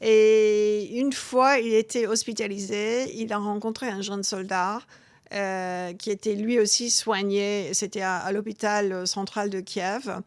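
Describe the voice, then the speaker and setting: A middle-aged woman, in a mid-pitched voice with many pauses and restarts. The close, dry mic gives her a plain, intimate sound. She talks as a guest in a conversational interview. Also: fairly even intonation, dry recording. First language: English. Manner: halting, many restarts and fillers. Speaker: guest author.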